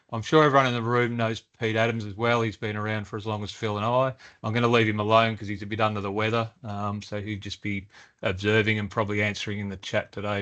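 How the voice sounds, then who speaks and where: A man running a workshop meeting, relaxed and loose with his fillers. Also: medium pitch. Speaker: meeting facilitator. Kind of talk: workshop introductions. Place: meeting room.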